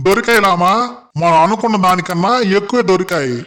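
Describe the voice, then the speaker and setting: An anxious, loyal penguin buddy, acted by a man for a kids' animated story. The voice is very high for a male, excitable and folksy. There is faint noise behind it. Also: fast, natural voice. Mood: excited. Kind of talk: children's animated story acting.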